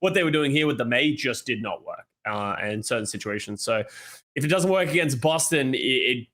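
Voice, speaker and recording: A man's voice: mid-range voice, expressive, fairly quick, sharp tone. A man giving casual match analysis on a podcast panel. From Australia. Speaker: esports podcast panelist, former pro player.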